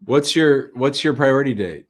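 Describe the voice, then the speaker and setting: A man hosting a live call-in show, answering callers' questions in a relaxed, thinking-aloud way. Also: mid-range voice, normal speed. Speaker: immigration show host.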